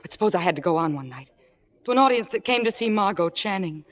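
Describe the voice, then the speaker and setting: A woman's voice: mid-range voice, animated, fast talker, lightly acted. Meek, deferential young woman assistant, played by an actress. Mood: hesitant, pleading. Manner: meek, hesitant, earnest. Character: deferential, humble-seeming young woman assistant, quietly ambitious. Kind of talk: 1940s-50s radio acting.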